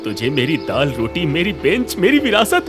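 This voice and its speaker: A man's voice: a little high, wildly swooping pitch, moderate pace. A man voicing a stubborn, proud middle-aged father who runs a village eatery in a cartoon story, speaking bluntly and emphatically. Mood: indignant anger. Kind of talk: animated cartoon story dialogue. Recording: music under the voice.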